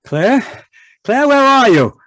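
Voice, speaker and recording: Man acting an irritable, domineering husband, swooping pitch. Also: sing-song, takes it slowly, fairly bright tone, dry recording, studio quality, full character voice. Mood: agitated. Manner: contemptuous, blustering. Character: irritable, domineering middle-aged scientist husband. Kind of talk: old-time radio drama acting. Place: indoors, live video call performance.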